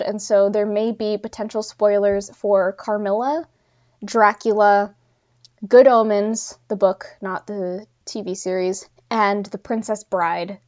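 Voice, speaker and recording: Dull lo-fi recording, woman, medium pitch, mild congestion, casual solo podcast host, unscripted, rambling, self-correcting. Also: darkish tone. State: slightly congested from allergies. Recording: dull, lo-fi sound.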